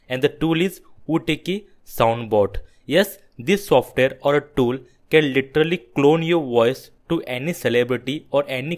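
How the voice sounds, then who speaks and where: Man presenting a tech tutorial. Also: mid-range voice. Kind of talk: screen-recorded software tutorial narration.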